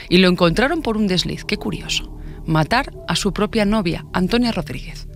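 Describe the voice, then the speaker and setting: Higher-pitched Spanish male narrator. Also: a bit bright. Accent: Spanish from Spain. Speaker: podcast narrator. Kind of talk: scripted true-crime narration.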